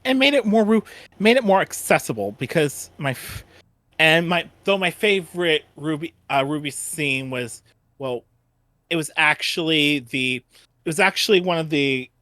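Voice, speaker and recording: A man hosting a casual fan chat in a halting way, often repeating phrases as he goes. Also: on the high side, lively intonation, a bit bright, no echo. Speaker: host of a fan discussion.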